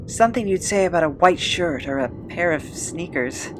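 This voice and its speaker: An actor playing a weary, haunted former captive in an audio drama, recounting a cruel past in a halting, measured way. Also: mid-range voice, animated, noisy background, close to an everyday voice. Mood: confessional.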